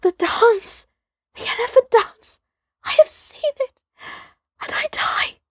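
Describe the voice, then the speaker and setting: A woman voicing an exhausted but excitable young boy elephant-driver in a storybook, earnest, proud and breathlessly emphatic. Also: very high voice, slow pace, no echo, clean studio sound, voice pushed up, full character voice. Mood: faint, exultant.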